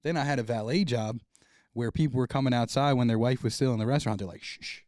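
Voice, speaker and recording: A man's voice: mid-range voice, quick pace, a little mellow, no echo, studio quality. A man hosting a podcast, talking in a casual, upbeat way and swearing freely. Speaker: podcast host. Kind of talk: casual interview chat.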